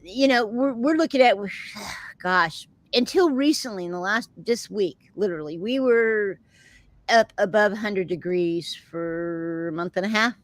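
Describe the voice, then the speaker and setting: A woman's voice: mid-range voice, unhurried, no room sound. A middle-aged woman with a Texan accent, hosting a casual chat with viewers in a rambling, chatty way and laughing often. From Texas. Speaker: host of a live chat show.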